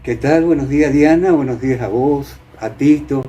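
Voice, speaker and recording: An older man with an Argentine accent from Entre Ríos, reminiscing as a guest and often pausing to find his words. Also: mid-range voice, mellow, muffled recording. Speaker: interview guest. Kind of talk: reminiscing in conversation. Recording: clear background noise.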